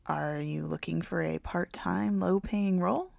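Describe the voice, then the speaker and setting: Woman reading as a self-assured male librarian, creaky. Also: on the low side, a little slow, a little mellow, no echo, studio quality. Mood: teasing. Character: perky, teasing male night-shift librarian, self-assured. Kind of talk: audiobook character dialogue.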